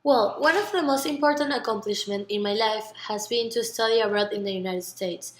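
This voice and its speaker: A woman's voice: a little high, brisk, a little hiss or hum. A nineteen-year-old woman from Venezuela with a Venezuelan Spanish accent, earnestly giving rehearsed interview answers in non-native English with some stumbles. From Margarita, Venezuela. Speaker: university student being interviewed. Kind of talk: rehearsed interview self-presentation. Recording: echoey, roomy sound.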